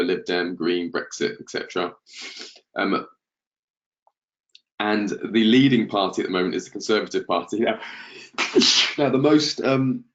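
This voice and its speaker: A man's voice: fairly low pitch, dull audio. A man recovering from the flu, sounding bunged up and coughing now and then, hosting a market-analysis webinar with lots of hesitant ums and restarts.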